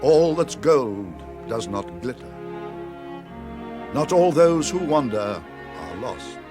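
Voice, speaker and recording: A grave and kindly wizard in a classic radio drama. He declaims slowly and with great range, as if every line were portentous, over soft music. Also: mid-range voice, sing-song. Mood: solemn, incantatory. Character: grave, kindly wizard reading out his letter.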